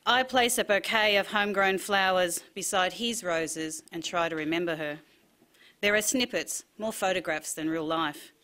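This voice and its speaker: Bright, crisp-edged woman reading literary pieces. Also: fairly low pitch. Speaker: writer reading own work.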